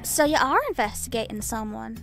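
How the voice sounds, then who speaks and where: A woman's voice: fairly high pitch, brisk, creaky. A woman playing a pushy interviewer who needles and insinuates. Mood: sly. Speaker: interviewer questioning the constable. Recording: music playing behind.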